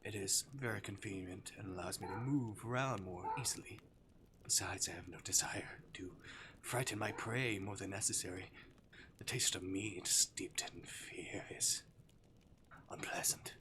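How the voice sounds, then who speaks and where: A man's voice: low voice, measured pace, bright, forceful tone. A man voicing a playful, theatrical arctic demon from a visual novel, with mock-courteous, teasing menace. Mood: smooth menace. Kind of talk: visual novel character voice acting. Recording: clear background noise.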